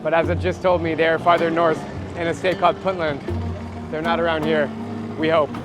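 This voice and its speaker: An American man in his thirties speaks as a travel vlogger in a fairly high voice. His delivery is informal and enthusiastic, and music plays clearly behind him. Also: level tone, fairly quick. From United States. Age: 30s. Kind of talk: casual travel vlog.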